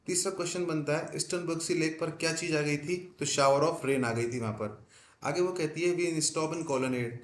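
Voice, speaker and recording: A male teacher lecturing in Hindi on an English poem, often asking questions and answering them himself, and reading the English lines slowly. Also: a little high. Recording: echoey room.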